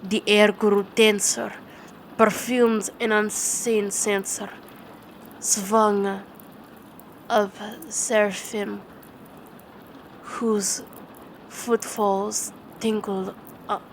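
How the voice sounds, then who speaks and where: A woman doing ASMR sleep-aid storytelling and poetry reading, halting and repeating words as she goes. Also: medium pitch, flat delivery, slight background noise, rough recording. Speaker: ASMR roleplay performer.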